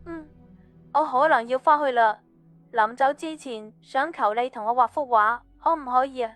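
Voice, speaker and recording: Audiobook role: actress as young woman, casual and understated, rather high voice, close dry recording. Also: normal speed, fairly bright tone, no echo, faint music behind. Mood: wistful, hesitant. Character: young woman, wistful, casual, teasing friend. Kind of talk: audiobook drama dialogue.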